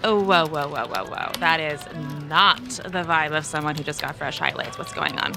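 An actress playing a breezy, self-absorbed young office gossip in an audio drama, gushing and slangy with drawn-out emphasis. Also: female voice, sits low, sing-song, over music. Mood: gushing, teasing. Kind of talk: scripted audio drama acting.

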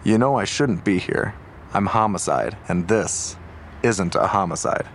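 An actor playing a seasoned homicide detective, calm and probing as he questions a younger officer. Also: lowish voice, a little slow, a little hiss or hum. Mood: steady, weary. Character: seasoned male homicide detective, weary, measured. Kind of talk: audio drama acting.

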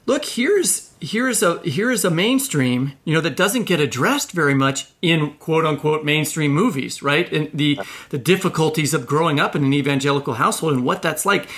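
A man hosting a podcast interview, talking in a conversational, halting way as he circles toward his question. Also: a little high, animated, fairly bright tone. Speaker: podcast host.

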